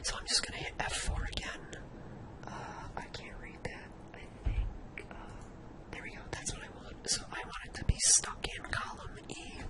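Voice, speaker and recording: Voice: normal speed, rough recording. Someone whispering an informal ASMR-style spreadsheet tutorial, thinking aloud while demonstrating each step.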